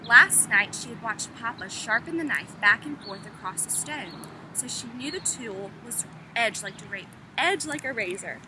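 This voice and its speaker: Noisy outdoor recording, wind and traffic, woman teacher, higher-pitched voice, storybook read-aloud for children, prompting young listeners. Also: expressive, moderate pace. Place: outdoors in the open.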